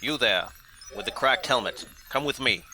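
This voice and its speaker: A man's voice: medium pitch, lively intonation, moderate pace, sharp tone. A man acting as an obedient alien general, a villain's henchman in an audio drama, speaking formally and dutifully. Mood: commanding. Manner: formal, deferential to master, crisp orders to underlings. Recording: clear background noise.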